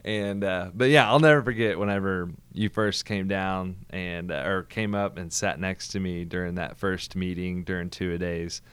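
A young pastor from Oklahoma talks in an easygoing, friendly way, often chuckling. His voice sits very low, and it comes through smooth and clear. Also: fairly even intonation, normal speed, few pauses, a bit bright, no room sound. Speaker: church hospitality pastor. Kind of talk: casual interview chat.

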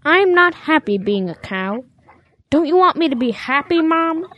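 Woman as a high, whiny little boy calf. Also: sing-song, a little slow, a bit bright, full character voice. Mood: pleading. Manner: childlike, whiny pleading. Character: naive, eager, stubborn young boy calf. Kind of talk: audio drama acting.

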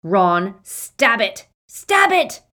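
A woman voicing a determined teenage boy, urgently shouting commands. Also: medium pitch, big pitch swings, very slow, pitched above the performer's own voice, full character voice.

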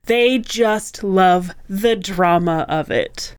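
A woman with a clean, smooth voice of middling pitch, recorded very dry. She talks as a podcast co-host, explaining storytelling points conversationally. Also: very slow, no echo.

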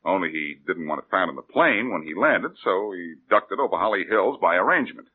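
A cool, cocky detective who never stops cracking jokes, his low, husky voice bouncing up and down. He comes through a narrow, phone-like 1940s radio broadcast. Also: fairly quick. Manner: breezy, joking, lively pitch.

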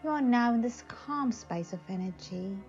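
A woman leading a guided meditation in a soothing manner, speaking in short phrases with long pauses. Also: fairly high pitch, expressive, a little mellow. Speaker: psychic advisor, meditation guide. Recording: slightly dull audio. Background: faint music.

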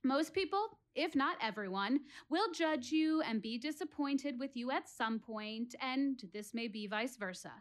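A woman's voice: sits high, expressive, a little slow, hardly any pauses. An actress playing an earnest, wry woman philosophizing to her theater audience in a dramatic one-woman monologue. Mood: defiant, exasperated. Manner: dramatic, rhetorical, addressing listeners directly.

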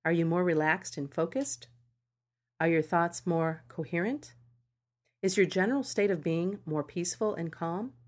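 Low-pitched woman narrating a meditation podcast. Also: moderate pace, darkish tone. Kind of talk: scripted narration of a meditation article.

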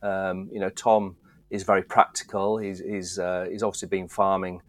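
Middle-aged guest, very low voice, dry close sound, loose rambling delivery. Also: male voice, flat delivery, normal speed, no echo. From Yorkshire, England. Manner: easygoing, rambling, frequent restarts. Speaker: guest, distillery co-founder. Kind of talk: casual interview chat.

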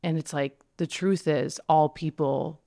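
Podcast host, gravelly fry in the voice, gushing and effusive, stumbles into restarts. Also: medium pitch, steady tone, unhurried, mellow. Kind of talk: casual interview chat.

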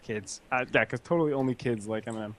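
A man's voice: medium pitch, fast. A young man from Canada hosting a gaming podcast in casual, joking chat, swearing and laughing often. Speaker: podcast host.

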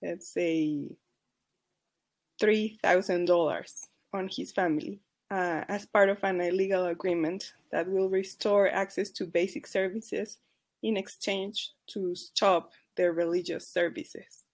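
A woman's voice: lowish voice, fairly even intonation, measured pace. A woman with a Mexican accent, a podcast guest earnestly telling a case story and often stopping to correct herself. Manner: earnest, careful, with restarts and self-corrections. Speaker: charity advocacy worker.

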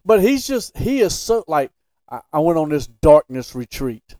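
A man's voice: a little high, big pitch swings, moderate pace, mellow, dry recording. A man co-hosting a sports podcast, debating casually in a blunt, opinionated and emphatic way.

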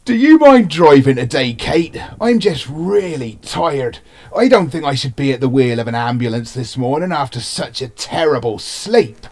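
Tired ambulance worker, mid pitch, lively swinging intonation, moaning, competitive, sketch comedy. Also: moderate pace. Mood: weary, one-upping.

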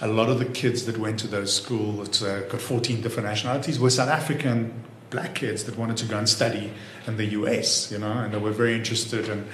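A man's voice: lowish voice, fairly even intonation, a little fast, a bit bright, roomy sound, slight background noise. A man in his fifties who grew up in Israel, talking easily as an interview guest and leaning on "you know" as he goes. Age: 50s. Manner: easygoing, many "you know" fillers and restarts. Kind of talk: relaxed conversational interview.

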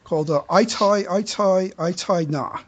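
A podcast host in a casual fan news chat, halting and stammering, often repeating words. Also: medium pitch, dull audio.

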